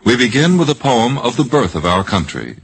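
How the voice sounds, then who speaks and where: A man's voice: medium pitch, big pitch swings, a little fast, slightly rough. An American man announcing in a formal, solemn scripted style on a 1950s radio program. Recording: vintage 1950s radio recording.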